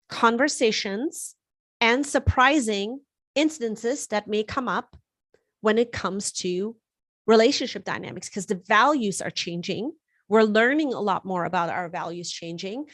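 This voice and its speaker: A woman's voice: mid-range voice, no room sound, studio quality. A woman from Germany whose first language is German, hosting a live astrology forecast in a conversational way with frequent fillers and restarts. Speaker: astrologer host.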